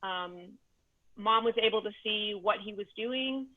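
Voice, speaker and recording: A woman's voice: medium pitch, unhurried. A woman from Texas shares a teaching story in a chatty, filler-heavy way. Her voice comes through a tinny, phone-like video call line. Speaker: mobility instructor. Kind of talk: casual anecdote on video call.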